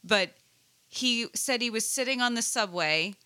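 Bright-voiced woman chatting with dry humour. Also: a little high, unhurried. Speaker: participant in a group conversation. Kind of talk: casual chat.

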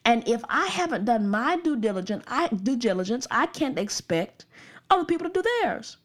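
A woman's voice: a little high, big pitch swings, moderate pace. A young woman from the Birmingham suburbs, giving an earnest, emphatic opinion monologue that repeats its points. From Birmingham, Alabama suburbs.

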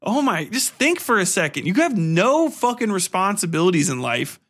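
Male podcast host, fairly high voice with a sharp, forceful edge; casual, blunt, swearing, exasperated review delivery. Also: sing-song, normal speed. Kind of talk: casual ranting film review.

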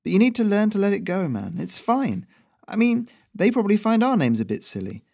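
A man's voice: sing-song, a little fast, vocal fry, darkish tone, heavily acted. A man voicing a cheeky young male courier who rides a messenger goose, talking in a breezy, teasing way. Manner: breezy, teasing, high-spirited.

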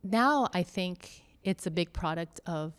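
A woman talking slowly and thoughtfully about faith and church life, pausing often with soft fillers. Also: fairly low pitch, expressive, clear, resonant tone. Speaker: church member interviewee. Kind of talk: reflective conversation.

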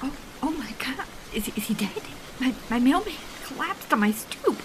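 A woman's voice: high-pitched, lively intonation, normal speed, noisy background. A young woman playing an anxious, lonely quarantine diarist in an audio drama. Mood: panicked. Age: young adult. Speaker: student voice actor. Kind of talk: audio diary drama acting.

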